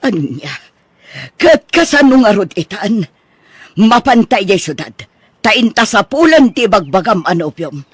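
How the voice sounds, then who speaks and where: A woman's voice: mid-range voice, very animated, a little slow, slightly rough, dry recording. A woman playing a stern, worried middle-aged mother in an audio drama, with an exasperated, questioning manner. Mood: worried, scolding. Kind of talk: Ilocano audio drama acting.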